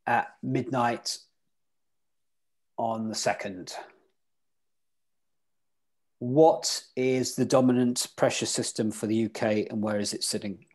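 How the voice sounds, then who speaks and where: A man's voice: medium pitch, darkish tone. A man teaching sailing weather in an online club lecture over a video call, quizzing his listeners as he goes. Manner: interactive, quizzes the audience.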